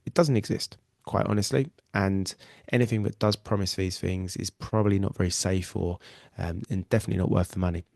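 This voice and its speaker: A man's voice: low-pitched, fairly even intonation, fairly quick, creaky, a little mellow, no room sound, studio quality. A man with a nasal cold, hosting a live weight loss webinar in an earnest, reassuring way and often repeating himself. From England. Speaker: weight loss coach and podcast host.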